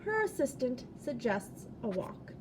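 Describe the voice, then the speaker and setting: Woman, high-pitched, unhurried pace, children's read-aloud, expressive emphasis on key words, slight background noise. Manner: deliberate, expressive, stressing and drawing out key words.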